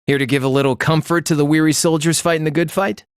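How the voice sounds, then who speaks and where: A man's voice: a little high, steady tone, fast talker, a little hiss or hum, lightly acted. A man voicing a laid-back, cocky young male student who wisecracks, in an anime game dub. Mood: teasing.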